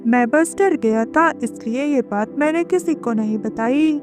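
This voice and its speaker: A woman's voice: high voice, measured pace. A woman voicing a frightened, pleading male parrot in a cartoon story. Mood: anxious, pleading. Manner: anxious, pleading. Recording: music behind the voice.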